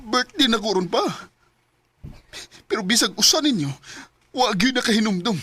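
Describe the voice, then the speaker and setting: A man's voice: very high-pitched, lively intonation, normal speed, sharp tone, slightly rough, dry recording. A man with a Cebuano accent acting in a Bisaya radio drama, playing the family's giver and narrating his story with emotional, pleading outbursts. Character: young man, family's giver, hurt and resentful.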